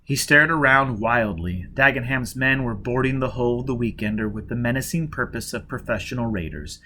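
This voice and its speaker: A man narrating a novel with an expressive, dramatic delivery. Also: mid-range voice, moderate pace, a little hiss or hum. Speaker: audiobook reader.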